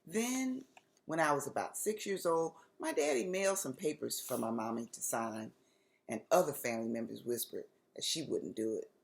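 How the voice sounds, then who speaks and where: A woman with an American accent reading a children's story aloud expressively, with deliberate, dramatic phrasing. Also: very deep voice, creaky.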